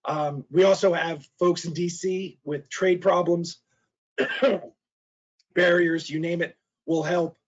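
A middle-aged man giving a webinar presentation, hoarse and losing his voice, halting with fillers and restarts and clearing his throat. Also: on the high side, steady tone. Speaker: trade specialist presenter. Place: small office. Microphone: video call. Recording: dull lo-fi video call audio.

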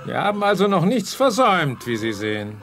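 An actor playing a gloating mad genius villain in a children's sci-fi radio drama, mock-courteous and theatrical. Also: male voice, high voice, sing-song, brisk, fairly bright tone, a little hiss or hum. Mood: smug triumph.

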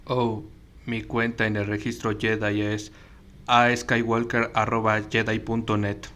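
A man plays a clueless Sith apprentice in a parody fan dub. He speaks slowly in a low monotone, hesitant and nonchalant about his evil. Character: clueless deadpan male Sith apprentice, blandly murderous.

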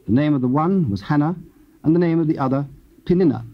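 Man, scripture narrator, measured, solemn, raspy, mid pitch, lo-fi cassette sound. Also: animated. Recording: dull old cassette tape.

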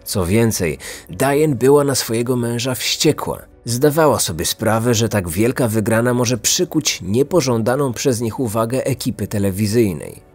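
A man narrating a scripted true-crime podcast as its host, measured and serious. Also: fairly low pitch, darkish tone, husky.

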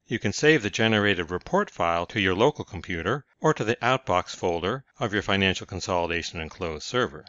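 Casting: male tutorial narrator, low-pitched, measured delivery, very dry and slightly muffled recording. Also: expressive, fairly bright tone, no echo. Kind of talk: scripted software tutorial narration.

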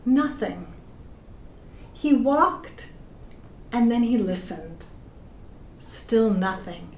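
Slow, high-voiced woman reading a storybook. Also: a little hiss or hum. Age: middle-aged. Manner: deliberate, expressive, addressing young listeners with questions. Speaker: pastor reading stories to children. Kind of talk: children's storybook read-aloud. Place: indoor room with plain walls. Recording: echoey room.

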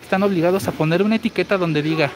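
A man in his forties with a high, girlish-sounding voice, chatting through a live store walkthrough and reading viewer comments. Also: very fast. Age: 40s. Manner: chatty, explains deals while walking. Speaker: shopping deals streamer. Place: large indoor supermarket. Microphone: phone held while walking. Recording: noisy store ambience.

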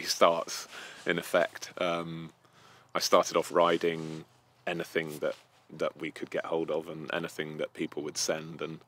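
A man's voice: sits low, a bit bright. A man from North Wales answering interview questions outdoors, hesitant, with many ums and restarts. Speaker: event rider interviewee. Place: outdoor terrace by a house.

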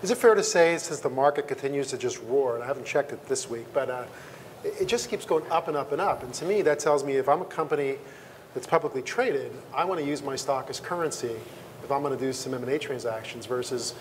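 A man's voice: mid-range voice, quick pace, slight background noise. A middle-aged man moderating a panel on a conference stage in a conversational way. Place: conference stage before an audience.

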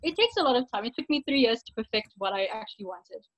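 A woman's voice: fairly high pitch, sing-song, quick pace, a bit bright. A young woman sharing her handmade craft on a video call, chatty and informal. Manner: chatty, light laughs, informal. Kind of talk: casual video call chat. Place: indoor bedroom with posters. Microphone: laptop webcam on video call. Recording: dull lo-fi video call audio.

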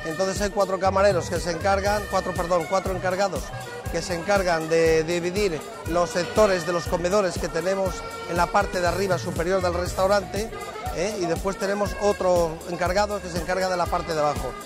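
A man's voice: high-pitched, fairly even intonation, brisk. A middle-aged man from Zamora, a restaurant manager, explaining his busy terrace restaurant to camera on a lapel mic. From Zamora, Spain. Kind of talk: guided tour talk. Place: busy restaurant terrace under a tent at night. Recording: background music under the voice.

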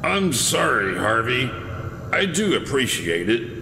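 A man's voice: medium pitch, very animated, slow, gravelly, bright, forceful tone, slight background noise, heavily acted. A man playing a weary, principled older police commissioner, grave and earnest, in an audio drama. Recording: echoey reverberant space, music behind.

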